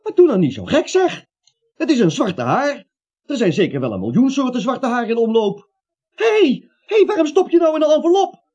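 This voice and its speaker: Radio comedy role: timid businessman, high husky voice, whining and stammering protests. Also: wildly swooping pitch, normal speed, dark tone, heavily acted. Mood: indignant, agitated. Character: timid, grumbling, flustered male businessman roused at night. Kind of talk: comic radio drama acting. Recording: dull, lo-fi radio play recording.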